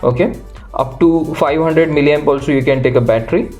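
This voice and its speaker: A man presenting an unscripted, step-by-step electronics tutorial, halting and pausing in the middle of phrases. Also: on the high side, fairly even intonation, a little mellow, soft background music.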